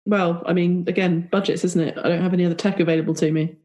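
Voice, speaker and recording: Woman as excuse-making spy, lowish pitch, casual, self-justifying, improvised audio roleplay. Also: flat delivery, fast, warm tone, close to an everyday voice. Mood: evasive. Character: evasive secret agent full of excuses.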